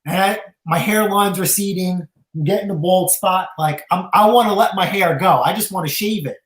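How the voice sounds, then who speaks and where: A man's voice: high voice, moderate pace, reverberant, poor audio. A man in his thirties co-hosting a casual live-stream chat, joking and laid-back. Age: 30s. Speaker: co-host.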